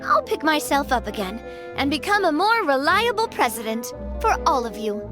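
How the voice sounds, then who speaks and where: Young female gang president, high voice, sincere, self-conscious, soft game music behind. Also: big pitch swings, normal speed. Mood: touched. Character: young woman, warm-hearted gang president. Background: game soundtrack music.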